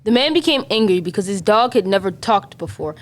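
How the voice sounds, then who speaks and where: A woman's voice: medium pitch, lively intonation, fairly quick. A young female student reading scripted folktale narration as the narrator. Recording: faint noise, amateur school podcast.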